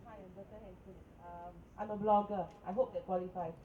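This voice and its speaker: A woman blogger asking a question at a press conference, hesitant and halting. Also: medium pitch, fairly even intonation, dark tone, lo-fi sound.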